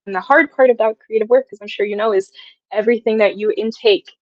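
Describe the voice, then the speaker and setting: A woman's voice: on the high side, fairly even intonation, fast talker, no echo, lo-fi sound. A woman in her twenties talking reflectively and casually as an interview guest, feeling her way through her thoughts. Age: 20s. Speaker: guest, audio drama writer.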